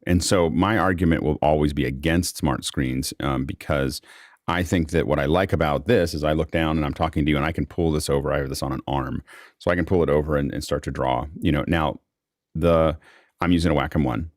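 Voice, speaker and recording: A man with an extremely low voice hosts a casual tech discussion. He explains things in a rambling way, circling back to restart his phrases. The sound is close and dry. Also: brisk, no room sound. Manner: rambling, repeats phrase openings. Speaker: host of an online panel discussion.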